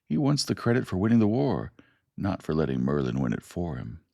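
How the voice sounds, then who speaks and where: A man's voice: low-pitched, very animated, a little fast, vocal fry, warm tone, no room sound, clean studio sound, exaggerated voice. A man voicing an earnest young man defending himself. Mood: defensive. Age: young adult.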